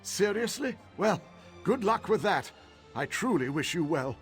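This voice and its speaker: A man's voice: high voice, very animated, unhurried, heavily acted. An AI-voiced man playing a fussy, superstitious townsman in game dialogue, with faint music behind. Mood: fussy, fatalistic. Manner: wordy, fussy, theatrical swings in tone.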